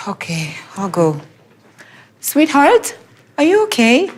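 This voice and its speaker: A woman's voice: fairly high pitch, huge pitch swings, takes it slowly, husky, full character voice. A woman acting as a caring, practical middle-aged mother in a TV sitcom, with a concerned manner. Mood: practical, reluctant. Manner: concerned, reassuring.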